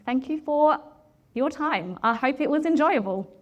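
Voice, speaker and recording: A woman in her forties addresses a room in a loud, direct manner. Her voice sits a little high, and she presses her points with passion. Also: lively intonation, a little slow, lo-fi sound, background noise. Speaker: project manager giving a talk. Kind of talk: presentation talk with Q and A.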